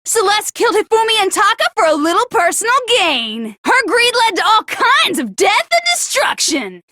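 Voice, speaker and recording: A woman's voice: extremely high pitch, sing-song, moderate pace, bright, forceful tone, dry recording, clean studio sound, full character voice. A woman playing a brash, foul-mouthed teenage girl villain in an anime game, crude and swaggering. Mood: rowdy glee. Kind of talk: anime video game voice acting.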